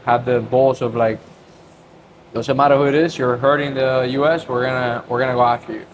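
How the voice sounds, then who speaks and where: A man's voice: medium pitch, little pitch movement, fairly quick. Laid-back man mixing in Spanish slang. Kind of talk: casual podcast conversation. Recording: noisy ambience.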